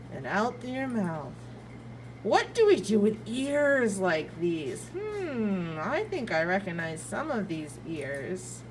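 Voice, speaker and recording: A woman reading aloud to young children like a preschool teacher, expressive and playful, drawing out key words and adding asides and questions. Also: mid-range voice, a little hiss or hum, poor audio.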